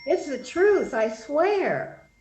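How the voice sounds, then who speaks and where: A woman's voice: high voice, big pitch swings, very slow, noisy background, exaggerated voice. A woman reading the part of an anxious, earnest wife protesting her innocence in an amateur readers theater play. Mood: pleading. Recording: roomy echo, dull muffled sound.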